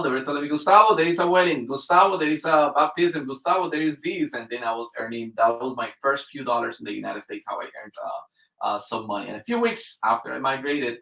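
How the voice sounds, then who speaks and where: A man, a Spanish speaker, talks as a guest with animated enthusiasm. He is miked from a distance in a roomy space. His voice sits at a mid pitch. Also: a little fast, vocal fry. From Colombia. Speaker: guest entrepreneur. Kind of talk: casual interview.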